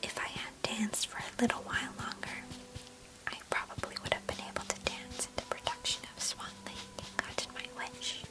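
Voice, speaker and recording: A woman's voice: moderate pace, natural voice, low-quality recording. Woman playing a whispering, chatty affectionate cousin. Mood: wistful, chatty. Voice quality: breathy, unvoiced whisper. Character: chatty, affectionate, nostalgic female cousin, former ballet student. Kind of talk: whispered ASMR roleplay.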